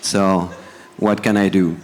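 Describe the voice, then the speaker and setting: A man from France with a French accent, speaking as a panel guest in a relaxed, joking way about his own life. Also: deep voice, slow pace. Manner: self-deprecating, joking. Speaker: panel guest, entrepreneur running for office. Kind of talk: casual panel talk. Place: conference hall. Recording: reverberant conference hall.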